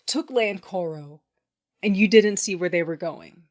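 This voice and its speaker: A woman's voice: on the low side, unhurried, a little mellow, close to an everyday voice. An actress playing a tough, sardonic underworld boss who narrates her own story bluntly in an audio drama. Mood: tough, wry. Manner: blunt, wry. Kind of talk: first-person dramatic narration and acting.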